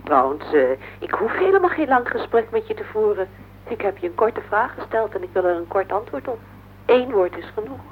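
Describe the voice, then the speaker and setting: A woman's voice: medium pitch, sing-song, brisk, narrow, tinny audio, natural voice. An actress playing a worried, insistent wife in her forties in a radio play, speaking earnestly and plaintively. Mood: reproachful, insistent. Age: 40s. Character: worried, insistent wife of forty-one, earnest and heavy-hearted. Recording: noisy, band-limited old radio play recording.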